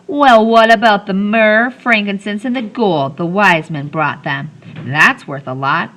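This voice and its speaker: A woman's voice: mid-range voice, unhurried, a bit bright, pitched above the performer's own voice, close to an everyday voice. A woman voicing a bossy, scheming young boy who snaps orders at the other children. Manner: bossy, cocksure, snapping.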